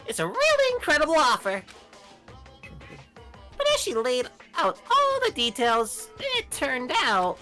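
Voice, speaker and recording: A woman's voice: high-pitched, pushed and bright, heavily acted. A woman voicing a mischievous, menacing cartoon bear mascot with gleeful, theatrical delivery in a fan dub of a video game.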